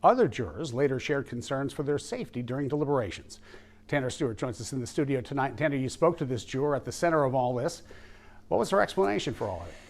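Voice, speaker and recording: Lively male news anchor. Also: medium pitch, fast talker. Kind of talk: scripted news reading.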